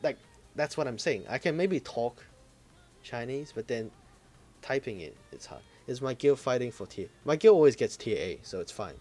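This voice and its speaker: A man's voice: medium pitch, big pitch swings, normal speed, warm tone, soft background music. Laid-back man in casual gaming chat. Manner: laid-back, swears casually, trails off searching for words. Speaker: podcast co-host.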